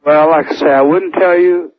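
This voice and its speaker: A man's voice: fairly high pitch, steady tone, moderate pace, slight background noise. Hesitant male caller on thin phone line. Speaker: anonymous radio caller. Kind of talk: personal account told on a call-in show. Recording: dry, thin telephone line on radio.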